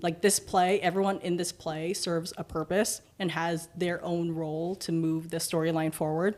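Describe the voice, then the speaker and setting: A young woman with a fairly deep voice for a woman, chatting casually as a podcast guest and acting out what people say to her. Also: moderate pace. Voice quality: deeper voice for a woman. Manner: animated, acts out quoted remarks with swearing.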